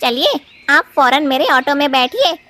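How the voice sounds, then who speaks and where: A friendly cartoon parrot driver, a woman doing a male bird, high and lively in pitch. He sounds bright, urgent and eager to help. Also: a little slow, heavily acted. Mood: concerned. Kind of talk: Hindi children's cartoon voice acting.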